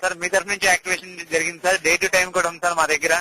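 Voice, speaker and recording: A male call-centre agent with a high, very sharp voice races through his lines. He stays polite and apologetic throughout, in practiced service phrasing. The phone recording has some noise behind him. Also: flat delivery, rapid-fire, low-quality recording. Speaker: customer care agent. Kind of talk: scripted customer-service phone talk. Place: telephone call.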